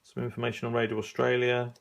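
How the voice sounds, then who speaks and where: A man's voice: mid-range voice, completely flat delivery, fast talker, gravelly, a little hiss or hum. A man giving a casual, unscripted review as a hobbyist, hesitantly reading out listings and commenting as he goes. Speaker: radio hobbyist reviewing an old magazine. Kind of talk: casual unscripted review, leafing through pages.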